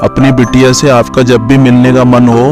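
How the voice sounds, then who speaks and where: A man's voice: medium pitch, little pitch movement, normal speed, over music, natural voice. A man voicing a respectful family elder who gives advice in a cartoon wedding story. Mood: reassuring.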